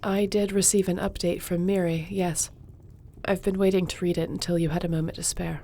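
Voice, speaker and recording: A woman voicing a court sorceress in a scripted audio roleplay, speaking gently and conversationally. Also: fairly low pitch, flat delivery, fairly quick. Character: warm, loyal female court sorceress.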